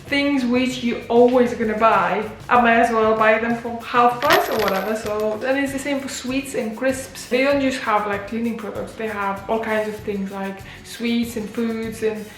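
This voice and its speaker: A woman's voice: on the high side, steady tone, moderate pace, few pauses. Chatty Polish-born woman in an echoey room. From Poland. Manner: chatty, uses many fillers like "like" and "you know". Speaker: vlogger. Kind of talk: casual talking-to-camera vlog. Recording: echoey room with faint music.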